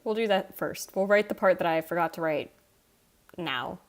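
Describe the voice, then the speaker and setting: A woman's voice: fairly low pitch, moderate pace. A young adult woman livestreamer chatting casually with her viewers in a self-deprecating way. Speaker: live streamer. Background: soft video game music.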